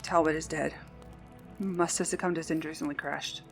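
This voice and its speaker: A woman's voice: deep voice, fairly even intonation, a little fast, gravelly, faint music behind. A woman acting as a wounded, commanding and stoic Marine sergeant in an audio drama. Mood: grave.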